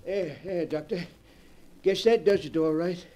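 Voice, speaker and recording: An actor playing a fearful, deferential gravedigger in 1940s radio mystery style, halting and alarmed, on an old broadcast recording. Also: male voice, high-pitched, background noise. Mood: uneasy. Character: fearful, deferential gravedigger helping a doctor open a coffin.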